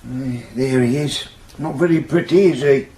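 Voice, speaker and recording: An actor playing a deferential, hesitant Victorian police mortuary sergeant in a radio drama. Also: male voice, mid-range voice, normal speed, warm tone, lightly acted. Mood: obliging. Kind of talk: 1970s radio drama acting. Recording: slightly noisy vintage radio recording.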